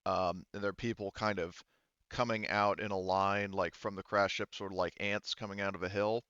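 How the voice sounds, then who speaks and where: A man with a low, smooth voice narrates as game master of a tabletop roleplaying session. He feels his way through descriptions, pausing and rewording mid-sentence. The sound is dry and slightly muffled. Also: level tone, fairly bright tone, dry recording. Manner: halting, thinks aloud, often rephrases mid-sentence.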